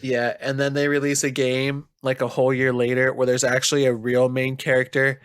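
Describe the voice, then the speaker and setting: A man's voice: medium pitch, monotone, fairly quick, clean tone, dry recording, studio quality. A man with a nasal voice, co-hosting a podcast about video games in a casual, chatty style. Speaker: podcast host.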